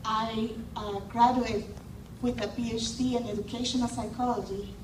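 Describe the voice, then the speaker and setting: A woman's voice: a little high, steady tone, normal speed. A woman in her thirties from El Salvador giving a hesitant public testimony, with many fillers and restarts. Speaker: speaker at a community event. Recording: echoey hall, far mic, noisy.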